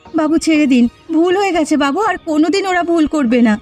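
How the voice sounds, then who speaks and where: A humble cartoon village woman, acted by a woman, with a fairly high voice. She sounds plaintive and pleading, as if begging for her family, over background music. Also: fast, darkish tone, close to an everyday voice. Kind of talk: cartoon voice acting.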